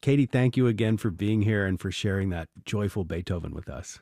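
A man's voice: on the low side, brisk, gravelly, dark tone, no echo, studio quality. A man hosting a radio music show, interviewing guests in a polished, encouraging way. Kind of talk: broadcast interview. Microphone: studio mic.

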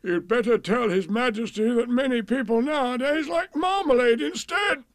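Man doing a storybook cow, sleepy, placid, high-pitched, dry recording. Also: moderate pace, no echo. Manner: drowsy, placid. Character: sleepy, mild-tempered storybook dairy cow. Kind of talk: rhyming storybook character voice.

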